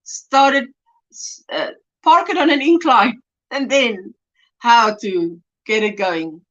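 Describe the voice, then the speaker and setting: A woman's voice: fairly high pitch, takes it slowly, a bit bright, dull audio. A South African woman with an Afrikaans accent, hosting a casual crochet livestream at home, chatty and laughing often as she reads viewer comments. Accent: Afrikaans-accented South African English. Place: indoors at home by a window.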